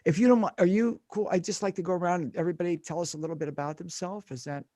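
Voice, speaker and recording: A man's voice: high voice, fairly even intonation, very fast, warm tone, no echo, clean studio sound. A man hosting a talk show over a video call, hesitant and stumbling. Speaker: show host. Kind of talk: casual video call chat.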